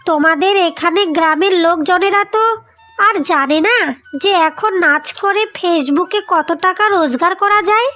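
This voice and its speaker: A woman's voice: very high voice, moderate pace, bright, forceful tone. A woman playing a pushy, coaxing younger wife in a cartoon story. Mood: bossy, coaxing. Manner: bossy, coaxing. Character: pushy, phone-obsessed younger wife chasing viral fame. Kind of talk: Bengali cartoon story acting.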